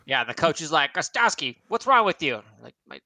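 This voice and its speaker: A man with a bright, forceful voice that moves around a lot in pitch. He co-hosts a podcast with playful, joking riffs, in a close dry recording. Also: very animated, a little fast, no room sound. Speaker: podcast co-host. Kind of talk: casual joking banter.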